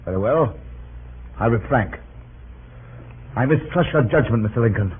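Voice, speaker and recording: An actor playing a proud, ambitious cabinet minister, stiff, formal and guarded, in 1930s radio drama style. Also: male voice, mid-range voice, expressive, normal speed, natural voice. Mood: indignant. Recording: tinny, hissy 1930s radio recording.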